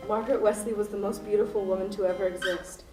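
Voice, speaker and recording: A woman's voice: medium pitch, fairly even intonation, fast talker, natural voice. Woman acting heartbroken unstable widow in a radio play, dramatic, kinda far from mic, echoey. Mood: tender, wistful. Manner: dramatic, volatile, poetic. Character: distraught grieving widow, armed hostage-taker telling her love story. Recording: roomy distant stage recording with music.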